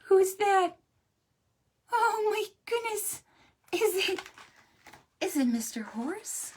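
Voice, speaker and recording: A woman as excitable little storybook farm animals, high-pitched, sing-song, exclaiming, swooping intonation. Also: slow, full character voice. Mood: alarmed.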